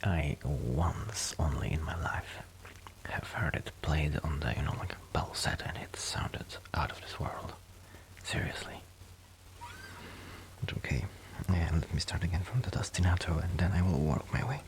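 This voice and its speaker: Deep voiced guy doing slow asmr friend chat, soft n hesitant, kinda self-deprecating, nearly whispering at times. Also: animated, low-quality recording. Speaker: ASMR performer and amateur musician friend. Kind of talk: ASMR roleplay, casual intimate chat.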